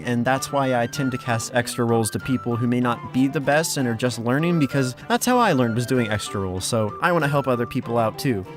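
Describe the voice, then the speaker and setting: A man with an informal, chatty manner presenting a casting call announcement as the project's director, close on the microphone. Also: mid-range voice. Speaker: fan dub director, casting host. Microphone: close XLR microphone. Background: background music.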